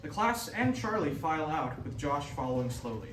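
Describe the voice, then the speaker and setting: A man's voice: a little high, big pitch swings, moderate pace, fairly bright tone. Echoey man reading stage directions. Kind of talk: scripted narration. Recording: echoey distant mic, clear noise.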